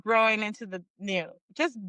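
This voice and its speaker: A woman's voice: mid-range voice, fairly quick, pushed and bright, no echo. A woman in her forties from Northern California, a small business owner talking earnestly in a casual interview and laughing easily. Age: 40s.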